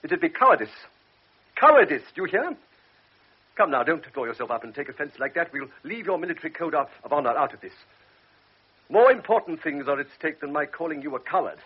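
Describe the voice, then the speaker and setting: Blunt doctor, husky, a bit high, dull old radio sound. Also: male voice, very animated, fairly quick. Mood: reproachful. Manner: grave, then sharply reproachful. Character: stern older male doctor, blunt and principled.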